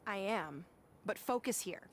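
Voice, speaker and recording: A woman's voice: mid-range voice, lively intonation, unhurried, a little hiss or hum, close to an everyday voice. A woman voicing a bossy, sarcastic young wrestler turned coach in a video game cutscene, curt and condescending. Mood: brisk, stern.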